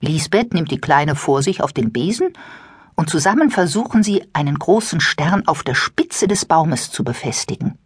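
A woman narrating a children's audiobook with expressive, scripted storytelling. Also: low voice, moderate pace, husky, dry recording, studio quality.

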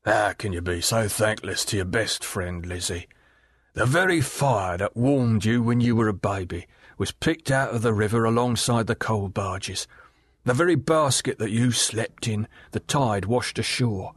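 A man doing a surly, gruff old boatman. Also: on the low side, measured pace, dry recording, clean studio sound. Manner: surly, blunt.

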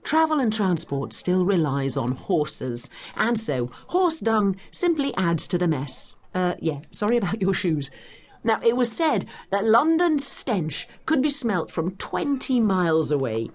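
An actress playing a knowledgeable, brisk woman guiding a listener through history in a dramatised educational audio guide, with an explanatory, teacherly manner. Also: mid-range voice, big pitch swings. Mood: informative, brisk.

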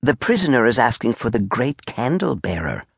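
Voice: medium pitch, very animated, moderate pace, vocal fry, slightly rough, no room sound, clean studio sound, exaggerated voice. A performer voicing a meek, simple-minded soldier in a storybook, coy and obsequious, with muddled fussy phrasing. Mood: hesitant, earnest.

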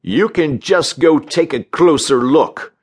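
A man acts out an indignant male research doctor from a comic audiobook. He speaks in a raised, insistent pitch with pompous, biting sarcasm. His delivery is animated and aggrieved. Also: takes it slowly, breathy edge, exaggerated voice. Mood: snarling. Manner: snarling, indignant, pompous wording. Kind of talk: audiobook character voice in a comic story.

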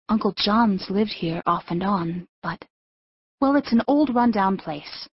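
Husky-voiced, earnest mother. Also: mid-range voice, no room sound, highs cut off. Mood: anxious. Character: patient, anxious but hopeful mother. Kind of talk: full-cast audiobook acting.

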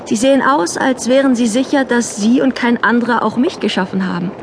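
A woman's voice: a little high, brisk, background noise, lightly acted. A woman playing a bold, ironic woman of a regimented future in a radio drama, provocative and mocking in her manner. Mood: amused irony. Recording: loud urban outdoor ambience behind.

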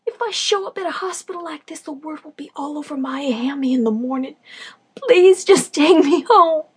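A woman's voice: high-pitched, animated, brisk, pitched above the performer's own voice. A woman voicing a battered young woman who is crying and pleading frantically.

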